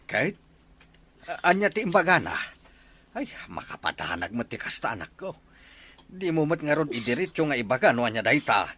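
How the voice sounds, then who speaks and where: A man's voice: mid-range voice, very animated, moderate pace, long pauses, sharp tone. A man playing a grieving old grandfather who consoles his family, acting in an Ilocano radio drama with an Ilocano accent. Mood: anxious.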